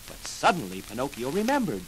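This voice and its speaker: A man narrating a children's story dramatically, with clear enunciation, in a 1940s record style. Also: medium pitch, wildly swooping pitch, fairly quick, heavy background noise. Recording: old 78 rpm record with music.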